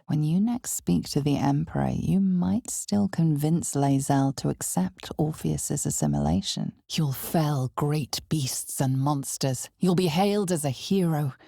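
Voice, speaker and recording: An actress voicing an ominous, knowing narrator in a dark fantasy video game, with measured, dramatic delivery that often sinks toward a whisper. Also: female voice, low voice, creaky, husky, no room sound, studio quality, full character voice. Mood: solemn. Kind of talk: scripted second-person fantasy game narration.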